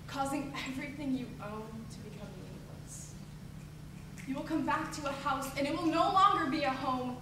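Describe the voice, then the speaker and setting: A young woman performing slam poetry live, emphatic and declamatory with a rhythmic delivery. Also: sits high. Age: teens to young adult. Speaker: slam poet. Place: echoey venue at a high school showcase. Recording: echoey distant live recording, background noise.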